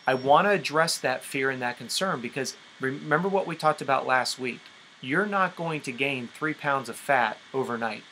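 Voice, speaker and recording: A man's voice: medium pitch, moderate pace, bright, forceful tone, slight background noise, rough recording. A man giving an instructional coaching talk as a sports coach, direct and emphatic, explaining things with rhetorical questions. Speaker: endurance sports coach leading a conference call.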